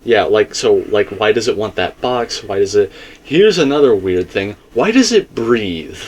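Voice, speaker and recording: A man's voice: on the low side, sing-song, normal speed, darkish tone. A man in a casual theory discussion, explaining his points emphatically and circling back to them. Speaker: video game theorist.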